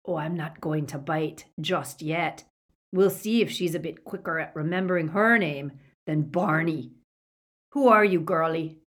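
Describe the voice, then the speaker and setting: A woman voicing a gloating, savage male werewolf villain in an audiobook, with a menacing, rasping growl. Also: low-pitched, a little slow, fairly bright tone. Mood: gloating, predatory. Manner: menacing, snarling.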